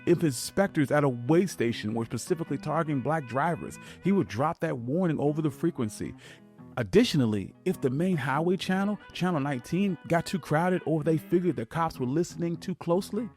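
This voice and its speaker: A man's voice: on the high side, lively intonation, a little fast, darkish tone, soft background music. A man narrating a scripted history documentary as the show's host, telling the story emphatically in short, punchy statements.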